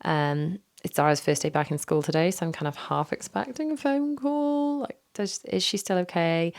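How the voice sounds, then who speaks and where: A woman in her thirties hosting her own podcast from a home office, hoarse from a recent illness, chatting candidly with lots of fillers into a microphone. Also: fairly low pitch, moderate pace, a little mellow. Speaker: podcast host. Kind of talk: casual solo podcast chat. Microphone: studio microphone.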